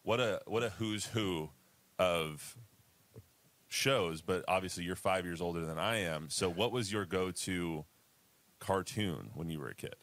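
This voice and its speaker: Deep-voiced young man chatting sports. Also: unhurried. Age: 20s. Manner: animated, laughs often, rambling restarts. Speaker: podcast co-host. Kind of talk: casual sports chat.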